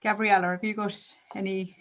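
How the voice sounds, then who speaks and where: A woman's voice: medium pitch, level tone, fairly quick, a bit bright, highs cut off. A woman hosting a panel conversation and asking her guests questions with eager interest. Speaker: host.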